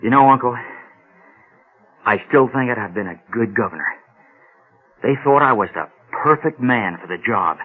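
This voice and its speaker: An earnest male android from a radio play, husky and expressive, with a pained, obedient yearning. The sound is narrow and thin, like an old radio. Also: mid-range voice, normal speed, long pauses. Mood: meek, resigned. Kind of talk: radio drama acting.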